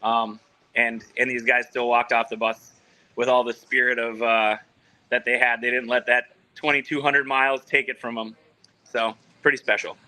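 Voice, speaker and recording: A man's voice: mid-range voice, flat delivery, moderate pace, bright, forceful tone. A man from a soccer club talking casually on a video call from the training ground, rambling with many restarts. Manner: rambling, full of restarts and fillers. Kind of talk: casual remote interview.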